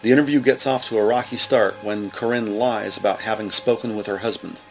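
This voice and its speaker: A man's voice: lowish voice, fairly even intonation, fairly quick, poor audio. A man reading scripted, present-tense narration for an audio drama as its narrator. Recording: music bed under the voice. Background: music.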